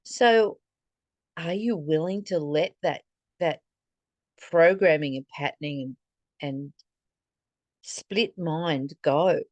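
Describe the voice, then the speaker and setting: A woman with a lowish voice reads and reflects on spiritual teachings. She takes her time, speaking deliberately and earnestly as she addresses the listener. The sound is dry, with very little room in it. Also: a little mellow, no echo, lo-fi sound. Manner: deliberate, earnest, posing rhetorical questions to the listener. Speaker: spiritual teacher reading and commenting on a text. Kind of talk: reflective spiritual reading with commentary.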